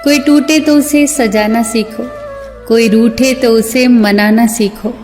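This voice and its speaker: Female narrator for inspirational quotes, measured scripted delivery, drenched in hall reverb over a music bed. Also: a little high, steady tone, darkish tone.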